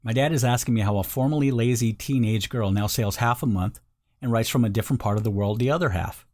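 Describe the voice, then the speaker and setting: A man voicing a breezy young woman customer giving a casual, excited testimonial. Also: medium pitch, quick pace, close to an everyday voice. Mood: pleased, amazed. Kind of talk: acted testimonial read.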